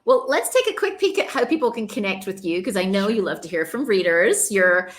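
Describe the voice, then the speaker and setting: A woman's voice: mid-range voice, expressive, brisk. A woman hosting a casual podcast interview in an upbeat, encouraging way. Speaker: podcast host and author.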